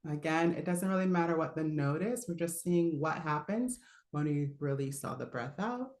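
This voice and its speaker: A relaxed woman with a low voice coaches singers in a live online class. She chats easily, laughs often and breaks off to demonstrate sounds. The recording has a roomy echo around her. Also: moderate pace. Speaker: voice teacher. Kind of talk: live online singing lesson.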